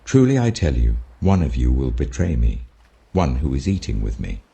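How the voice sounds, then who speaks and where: British man playing grave authoritative teacher, dark husky voice, solemn, deliberate, emphatic, scripture audiobook. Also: rumbling low, big pitch swings, exaggerated voice. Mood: grave warning.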